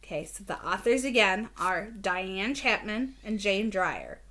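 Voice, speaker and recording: A woman's voice: mid-range voice, sing-song, a little slow, a bit bright. A woman teacher introducing a read-aloud for young children, explaining things simply and asking the listener questions. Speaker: elementary school teacher.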